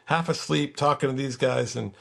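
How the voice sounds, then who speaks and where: A man's voice: mid-range voice, moderate pace, vocal fry, fairly bright tone. A man speaking as a podcast guest in casual, laid-back and informal chat. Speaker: guest, software developer.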